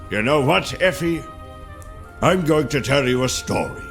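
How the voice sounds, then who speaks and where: A kindly older male storyteller, spins a fairy tale for a game. His delivery is unhurried and theatrical, with lively swings of pitch. Long reverb hangs around the words. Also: soft background music. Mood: inviting, warm. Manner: theatrical, measured, animated. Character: kindly old storyteller telling a child a tale. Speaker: storyteller narrator. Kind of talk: dramatic fairy-tale narration.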